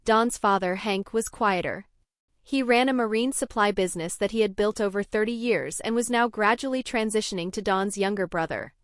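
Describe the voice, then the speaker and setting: A young adult woman from Norway, narrating her own story in a measured, even scripted way. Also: mid-range voice, smooth, dry recording, studio quality.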